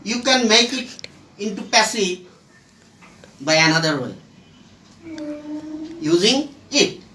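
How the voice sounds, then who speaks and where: A teacher explaining English grammar step by step, in short halting phrases broken by pauses. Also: mid-range voice, sing-song, pushed and bright. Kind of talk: slow explanatory grammar lesson. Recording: roomy echo, distant microphone.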